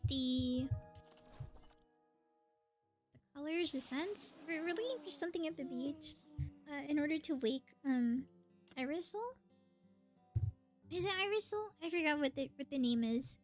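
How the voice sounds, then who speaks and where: A woman's voice: sits high, a little slow, pauses often, faint music behind. A woman livestreamer giving casual, chatty gameplay commentary, thinking aloud as she plays.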